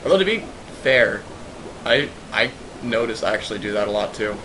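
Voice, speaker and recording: A man's voice: on the low side, animated, moderate pace, background noise. A man giving casual, joking let's play commentary with wry riffing.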